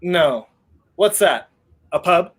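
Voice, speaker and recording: A skeptical young gentleman played by a man with very lively, swooping intonation. He is wry and flippant, delivered theatrically as in a 1930s radio play. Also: high voice, takes it slowly, full character voice. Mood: wry, reassuring. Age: young adult.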